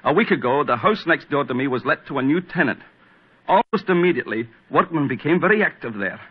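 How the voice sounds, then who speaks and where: A nervy, frightened gentleman pleading for help; muffled vintage radio sound. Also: on the high side, animated, fast, breathy edge. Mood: anxious. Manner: anxious, breathless, frightened pleading. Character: panicky middle-aged man with a weak heart. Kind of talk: 1940s radio acting. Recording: dull old radio broadcast.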